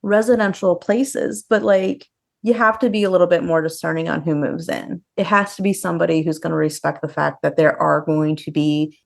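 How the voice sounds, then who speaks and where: A woman's voice: on the low side, flat delivery, fairly quick, vocal fry. A woman co-hosting a podcast, chatting casually about horror news in a conversational way peppered with filler words. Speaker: podcast co-host.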